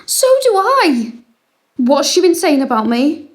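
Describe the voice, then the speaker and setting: A woman's voice: high voice, big pitch swings, unhurried. An actress playing an anxious, clinging mother in an audio drama, hesitant and needy with her child. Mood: hurt, defensive.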